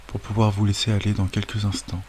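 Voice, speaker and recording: Low-voiced man guiding sleep meditation over waves. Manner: calm, soothing, unhurried with long pauses. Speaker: yoga nidra guide. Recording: steady wave noise over the voice. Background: sound of ocean waves.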